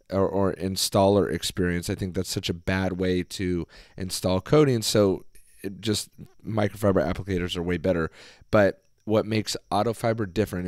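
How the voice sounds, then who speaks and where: A man hosting a podcast, talking casually and hoarsely with his voice nearly gone, rambling with fillers and restarts. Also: deep voice, no room sound, studio quality. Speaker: podcast host. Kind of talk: casual solo podcast talk.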